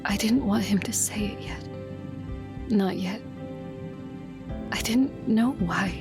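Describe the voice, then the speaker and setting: A woman's voice: medium pitch, slow, natural voice. A woman playing a guarded, determined leader in love, acting and narrating an audio drama with intimate restraint. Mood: tender, wistful. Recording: music bed under the voice.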